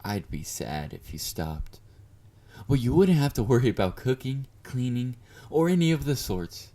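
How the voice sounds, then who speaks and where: A man's voice: mid-range voice, sing-song, normal speed, warm tone, natural voice. A man playing an obsessive, clingy yandere fan in an intimate roleplay monologue, speaking to the listener with doting, flirty confidence. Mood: tender, clingy. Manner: doting, confident, coaxing with pet names.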